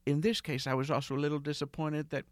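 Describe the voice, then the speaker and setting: A man from outside Philadelphia hosting a podcast interview, speaking in a slow, soothing, deliberate way. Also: on the high side, flat delivery, no echo. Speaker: podcast host.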